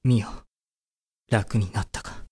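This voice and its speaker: Woman playing a low-voiced earnest young man. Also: fairly even intonation, slow, a little mellow, slightly rough, no room sound, studio quality, full character voice. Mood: tender, weary. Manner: urgent pleading, masculine speech. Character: earnest, desperate young man, protective older brother. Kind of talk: Japanese amateur voice drama acting. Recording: music behind the voice.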